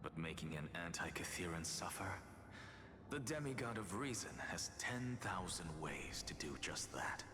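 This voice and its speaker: A man voicing a cunning, theatrical scholar demigod in a video game, speaking in a measured, smug, defiant way. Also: sits low, big pitch swings. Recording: long reverb, music over the voice.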